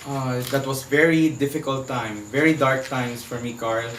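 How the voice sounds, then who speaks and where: A man with a Filipino accent speaking as an interview guest, earnestly and haltingly, searching for his words as he gives personal testimony. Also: mid-range voice, a bit bright. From Philippines. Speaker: HIV advocate, interview guest. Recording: echoey room, distant mic, faint background noise.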